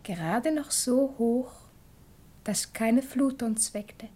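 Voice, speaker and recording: Dreamy solemn child, high breathy voice, hushed poetic phrasing, 1960s German radio play. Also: female voice, takes it slowly. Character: dreamy child visiting the pastor, quietly solemn. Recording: 1960s radio drama recording.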